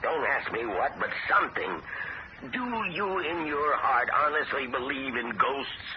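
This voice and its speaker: An actor playing Dumont, an anxious house owner pleading for help, on a dull, hissy old radio broadcast. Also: male voice, mid-range voice, expressive, measured pace, lightly acted. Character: Gilmore Dumont, desperate aging house owner. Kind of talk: 1970s radio drama acting.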